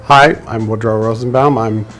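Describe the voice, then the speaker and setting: A man's voice: on the low side, fairly even intonation, normal speed, a bit bright, faint music behind. Reflective man, articulate. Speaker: chief data officer. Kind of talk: interview.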